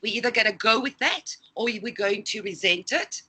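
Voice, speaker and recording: A woman's voice: a little high, moderate pace, pushed and bright, breathy edge. A woman from Greece, a native Greek speaker, talking as a life coach guest in a casual interview, in a reflective storytelling manner.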